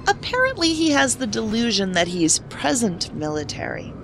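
An actress playing a sarcastic federal investigator in a science fiction audio drama, with a brisk, clipped, sardonic manner. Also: female voice, fairly high pitch, wildly swooping pitch. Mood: businesslike. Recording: clear vehicle noise behind voice.

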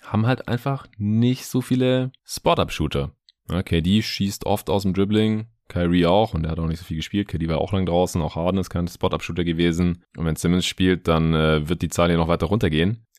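A low-pitched man delivers conversational basketball analysis as a podcast host. He explains things informally, with casual asides, and the recording is very dry. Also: brisk, darkish tone, no room sound. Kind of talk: conversational sports analysis monologue.

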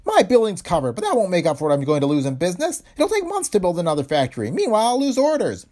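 A man voicing a small, furious older male factory owner with a shrill, high-pitched ranting voice. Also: very animated, moderate pace, exaggerated voice.

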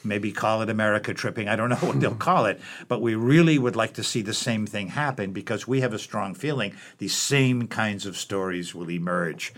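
An older man, a professor and book editor, speaking in a relaxed interview with many fillers and restarts. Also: lowish voice, normal speed, hardly any pauses. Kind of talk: conversational interview.

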